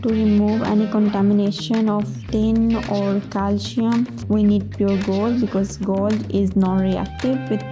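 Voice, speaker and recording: A young woman from Pakistan whose first language is Urdu, a doctoral researcher speaking reflectively about her life, with pauses and fillers. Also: medium pitch, little pitch movement. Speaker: PhD researcher. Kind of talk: personal documentary narration. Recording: roomy with music underneath.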